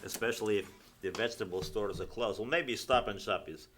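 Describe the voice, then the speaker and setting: A man hosting a radio show, trading joking banter with quick, wisecracking comebacks. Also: fairly low pitch, a bit bright, a little hiss or hum. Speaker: radio host.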